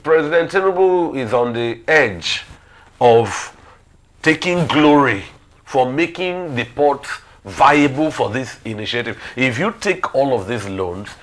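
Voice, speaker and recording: A man with a Nigerian accent giving detailed conversational analysis on a talk show, in a halting, thinking-aloud way. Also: mid-range voice, animated, fairly bright tone. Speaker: current affairs talk show speaker.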